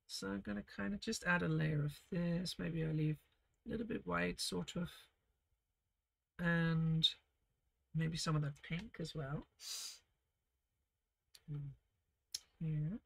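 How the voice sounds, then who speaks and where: A woman leading a casual live painting lesson, reading viewer comments and chatting warmly as she paints, often laughing softly and trailing off mid-sentence. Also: low-pitched, slow pace, vocal fry, pauses often, slightly rough. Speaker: art teacher streaming a painting session.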